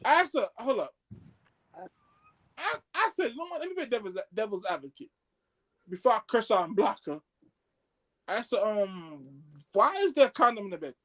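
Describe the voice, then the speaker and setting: Casting: male podcast co-host, very high voice, swooping intonation, excitable street-slang storyteller who acts out both sides of conversations. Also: normal speed, long pauses, low-quality recording. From Haitian background. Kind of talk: animated comedic storytelling among friends.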